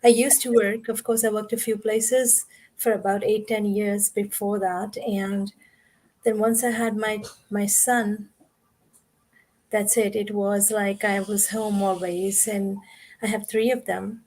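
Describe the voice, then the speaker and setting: A woman's voice: medium pitch, level tone, takes it slowly, darkish tone, poor audio. A woman in her forties from India, a guest on a video call interview, telling her story in an earnest, conversational way. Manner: conversational, earnest, explains at length.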